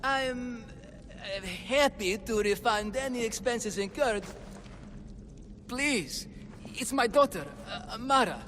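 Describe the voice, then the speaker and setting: A man's voice: takes it slowly, pushed and bright, in a large hall, slight background noise, heavily acted. An actor playing a cowardly man who pretends to be a roaring Minotaur, then drops it and pleads meekly, stammering. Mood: pleading. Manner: booming fake threats, then meek and stammering.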